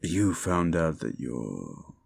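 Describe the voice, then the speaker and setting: A man playing a cruel, sneering demon in an ASMR roleplay, sardonic and condescending, with a gravelly voice. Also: extremely low pitch, sing-song, slow pace, heavily acted. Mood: smug, bargaining. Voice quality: gravelly, creaky. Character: cruel, sneering male demon bargaining for wishes. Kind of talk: scripted ASMR roleplay addressed to the listener.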